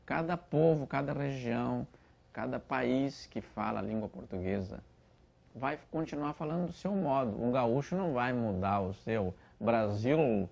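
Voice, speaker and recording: A man's voice: mid-range voice, expressive, measured pace, gravelly, darkish tone. A male language expert giving an explanatory interview statement, building his point with examples. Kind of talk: interview testimony. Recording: dull lo-fi recording.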